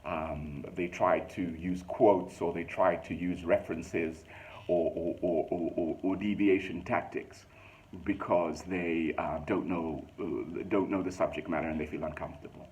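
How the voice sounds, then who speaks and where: A man with a Zambian accent, talking reflectively to his listeners in a casual, thoughtful way, with many ums and slow emphatic stretches. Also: low voice, steady tone, a little mellow.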